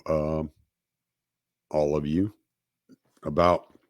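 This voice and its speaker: A man with a very low voice talks slowly and haltingly on his own livestream, full of ums and restarts. He is recovering from dental work, and his speech is careful. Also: dry recording, clean studio sound. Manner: hesitant, many fillers and restarts. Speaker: podcast host. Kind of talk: solo livestream talk.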